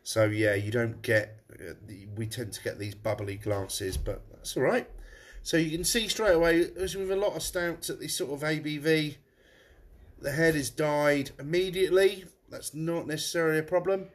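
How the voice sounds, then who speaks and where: Chatty pub landlord, stuffy nose. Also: male voice, a little high, moderate pace. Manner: chatty, rambling, frequent restarts. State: blocked nose. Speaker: pub landlord reviewing beer on a live stream. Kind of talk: casual live-stream beer tasting. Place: room above his pub.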